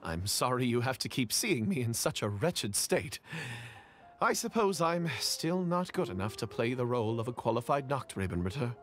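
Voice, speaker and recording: An actor voicing a hapless, earnest young theatre player dressed up as a knight in a video game. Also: male voice, mid-range voice, big pitch swings, normal speed, lightly acted. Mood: sheepish. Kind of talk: anime-style video game dialogue.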